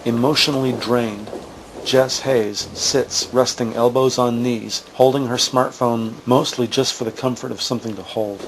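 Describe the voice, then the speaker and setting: A man narrating an audio drama in a measured, descriptive, scripted manner. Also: mid-range voice, steady tone, background noise, natural voice. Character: measured male narrator describing courtroom scenes.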